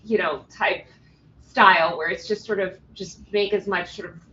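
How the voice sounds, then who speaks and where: Woman journalist guest, bright voice, loose chatty delivery, slightly dull remote audio. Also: lowish voice, steady tone, a little hiss or hum. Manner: fast, loose speech with many ums, likes and restarts; blunt opinions. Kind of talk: remote interview.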